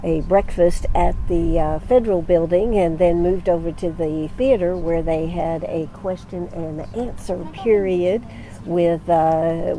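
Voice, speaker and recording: An older woman hosting a live radio interview outdoors at a golf course, chatty and unhurried, with plenty of fillers, into a handheld mic. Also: fairly low pitch, mellow, a little hiss or hum, low-quality recording.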